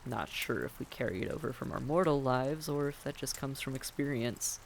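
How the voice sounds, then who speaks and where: Man playing a creaky-voiced, friendly vampire innkeeper. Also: medium pitch, animated, normal speed, a little hiss or hum. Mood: gentle, comforting. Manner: courteous, reassuring, conversational. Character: friendly, reassuring male vampire innkeeper, long undead. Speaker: innkeeper character in audio roleplay. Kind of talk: fantasy audio roleplay addressed to the listener.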